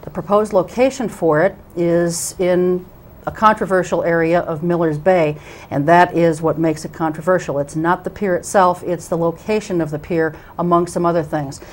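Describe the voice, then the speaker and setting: Woman, middle-aged, talk show host, lowish voice, halting with fillers, lapel mic in a small studio. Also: steady tone, a little mellow. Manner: hesitant, many ums and restarts. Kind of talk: local cable talk show. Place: small TV studio with curtain backdrop.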